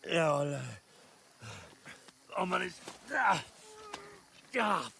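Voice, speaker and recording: An actor playing a stubborn young medieval adventurer, wounded and straining through pain in a game cutscene. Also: male voice, high voice, expressive, drawn-out words, vocal fry, bright, forceful tone, a little hiss or hum, heavily acted. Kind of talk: video game cutscene acting.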